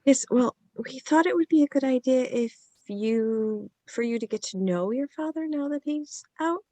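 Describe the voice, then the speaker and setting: A woman's voice: sits high, expressive, moderate pace, warm tone, dry recording. A woman reading the part of a hesitant mother talking to her young sons in a script read-through. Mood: hesitant, coaxing.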